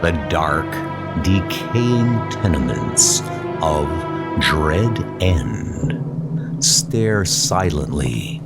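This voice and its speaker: A man's voice: rumbling low, big pitch swings, drawn-out words, creaky. A man giving dramatic, suspenseful narration of horror stories, drawing out his phrases theatrically. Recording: music behind the voice. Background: dramatic music.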